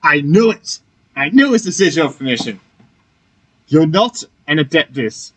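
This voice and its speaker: Animated man commenting on a game. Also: fairly high pitch, moderate pace, breathy edge, rough recording. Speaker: gamer playing through a story quest. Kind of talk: casual reactive gameplay commentary. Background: game music.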